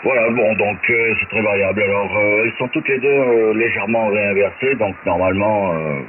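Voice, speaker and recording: A man's voice: fairly low pitch, a little fast. A man speaking as an amateur radio operator in a casual technical chat, hesitant and thinking aloud, over a shortwave transmission. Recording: very noisy narrow-band shortwave radio. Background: loud radio static.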